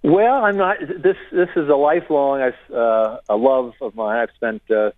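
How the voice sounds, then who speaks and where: A man in his fifties from Connecticut, a guest author on a radio interview, talking haltingly with many fillers and restarts over a phone line. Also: medium pitch, no echo.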